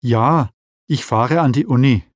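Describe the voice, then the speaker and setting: A man speaking short scripted lines for a language listening test, reading them plainly and with measured care. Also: medium pitch, no room sound, studio quality. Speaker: speaker in exam recordings.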